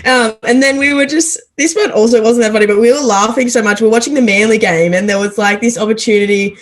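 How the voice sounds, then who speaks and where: Brief: giggly young Australian woman, slightly high voice, casual podcast co-host on a video call. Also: quick pace. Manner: giggly, chatty, frequent laughter, high squeals when mimicking. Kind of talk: casual chat about rugby league.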